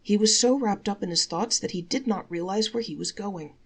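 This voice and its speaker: A woman reading a folktale aloud as expressive storytelling narration, measured and drawing out key words. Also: medium pitch, husky.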